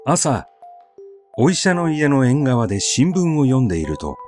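A man narrating a literary story in a measured, conversational, expressive way, as if telling it to someone. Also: mid-range voice, faint music behind.

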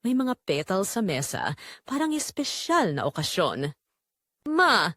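A woman's voice: lowish voice, huge pitch swings, normal speed, a bit bright, no echo, clean studio sound. A woman reading scripted, first-person dramatic narration.

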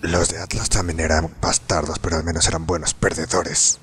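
A man's voice: extremely low pitch, level tone, brisk, a bit bright, faint music behind. A man voicing a crude, threatening male bandit leader in a fan dub of a game. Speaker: fan dubber voicing all male parts.

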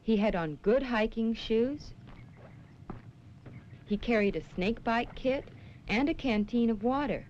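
An actress playing a reassuring superheroine who teaches children safety in an earnest, measured, didactic way in a 1970s educational film. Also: female voice, on the high side, darkish tone, close to an everyday voice. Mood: reassuring, cautionary. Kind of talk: scripted 1970s educational film acting and narration. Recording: muffled, noisy 1970s educational film soundtrack.

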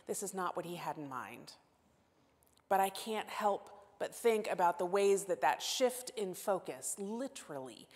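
A woman in her forties with a Southern US accent, preaching a sermon at a pulpit and telling a story, sometimes dropping to a near-whisper for emphasis. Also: mid-range voice. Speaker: guest preacher. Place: church sanctuary at a pulpit. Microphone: pulpit microphone. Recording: long church reverb.

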